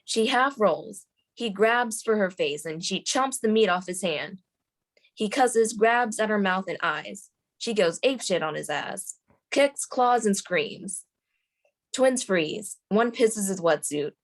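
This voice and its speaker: A woman's voice: mid-range voice, expressive, slow pace. A woman reading screenplay stage directions as the narrator at a table read.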